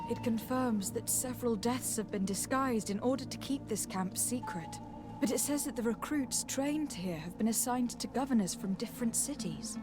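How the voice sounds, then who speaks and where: An actress playing a principled young noblewoman diplomat in a video game, earnest and protective, speaking in a measured, formal way. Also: on the high side, lightly acted.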